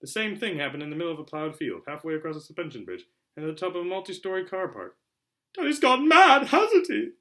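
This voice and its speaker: A man reading a storybook aloud as a narrator, in an expressive, theatrical style. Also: fairly high pitch, racing. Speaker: audiobook reader.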